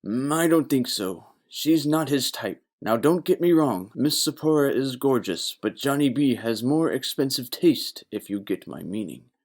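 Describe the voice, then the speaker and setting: A man's voice: mid-range voice, lively intonation, measured pace, hardly any pauses. A man playing a naive, gossipy young bartender in a noir audio play, earnest and emphatic.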